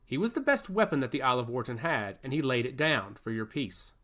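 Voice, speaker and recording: Casting: male narrator as a smug fantasy emissary and teasing schemer, theatrical, with lively swooping pitch. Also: brisk. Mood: insistent. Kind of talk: fantasy audio story character.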